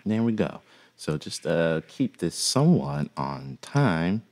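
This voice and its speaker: A man with a deep voice teaching music production in a relaxed, folksy way, with many "uh" fillers. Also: takes it slowly. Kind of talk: casual software tutorial.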